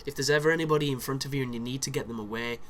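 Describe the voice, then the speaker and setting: A man's voice: mid-range voice, rapid-fire, slight background noise. A man giving casual, chatty commentary over a video game walkthrough, sounding chesty from a chest infection. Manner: chatty, explanatory, with frequent restarts and fillers.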